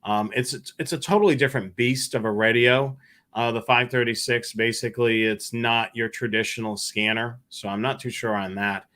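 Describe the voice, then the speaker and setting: A man's voice: mid-range voice, fairly even intonation, normal speed, bright, forceful tone. A man hosting a live show solo, talking casually and a bit hesitantly as he chats to viewers and takes their questions. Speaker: host of a scanner radio live show.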